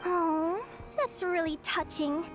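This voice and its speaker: A woman playing a curious young bird-fae girl naturalist in a video game, speaking in an earnest, articulate, expressive way. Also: very high-pitched, slow pace, over music. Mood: wry, touched. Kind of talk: scripted video game dialogue.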